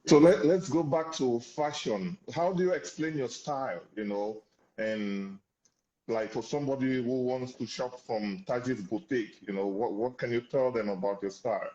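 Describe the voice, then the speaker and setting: A man hosts an interview and asks loose, hesitant questions, stumbling over some of his words. His audio is dull and lo-fi, with a roomy echo around it. His pitch is ordinary for a man. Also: normal speed, mellow. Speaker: interview host.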